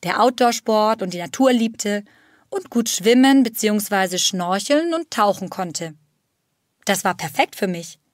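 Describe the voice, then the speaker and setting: A woman reads a first-person story in a steady, clear narrating voice. Her pitch sits mid-range, and the sound is dry and close-miked. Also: a little slow, dry recording.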